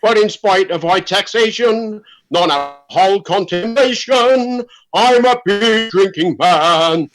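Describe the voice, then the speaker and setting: An actor playing an exuberant beer-loving village amateur singer, hearty and encouraging, in a radio comedy. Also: male voice, high-pitched, slow pace, fairly bright tone, faint music behind, rough recording. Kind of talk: radio comedy drama acting.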